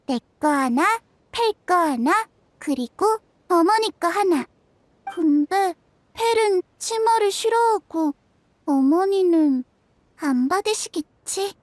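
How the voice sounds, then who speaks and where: An actress voicing a gentle, dreamy young girl in a game, talking in a soft, wistful, playful way. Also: extremely high pitch, lively intonation, slow pace, no room sound, exaggerated voice. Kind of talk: game cutscene dub.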